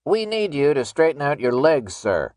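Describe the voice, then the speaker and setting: A man's voice: fairly high pitch, very animated, normal speed, darkish tone, no echo, voice pushed up. A man voicing a cold, commanding worker in a protective suit, giving curt orders in an audiobook. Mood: firm. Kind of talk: audiobook character acting.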